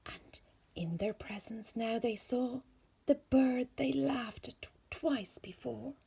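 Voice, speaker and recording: A woman with a husky, breathy voice reading a rhyming children's story aloud in slow, deliberate phrases. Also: a little high, dark tone. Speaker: storybook reader.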